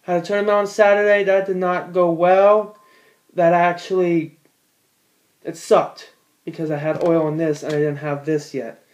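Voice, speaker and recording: A man's voice: high voice, level tone, moderate pace. A teenage boy in a small room at home, giving a casual how-to in a rambling, plain-spoken way with many fillers and restarts. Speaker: bowler demonstrating ball cleaning.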